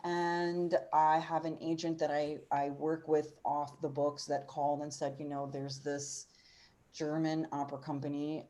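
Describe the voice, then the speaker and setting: A woman in her forties speaks in a low voice with earnest, emphatic passion. She talks as a panelist over a video call. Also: dark tone. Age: 40s. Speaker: opera singer and voice teacher on a panel. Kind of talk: panel discussion.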